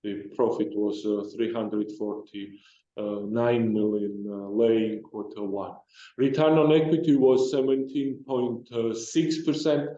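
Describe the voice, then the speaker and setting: Halting man presenting in dull, roomy audio. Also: on the low side, steady tone, dark tone. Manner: halting, hesitant with frequent broken-off phrases. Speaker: company executive presenter. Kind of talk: financial results presentation. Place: conference call.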